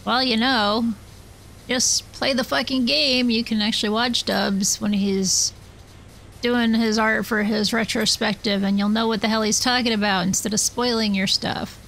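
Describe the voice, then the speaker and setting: A woman's voice: a little high, fairly even intonation, unhurried, fairly bright tone, low-quality recording. A woman streamer chatting casually with viewers while she plays, rambling and self-deprecating. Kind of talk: casual chat while gaming.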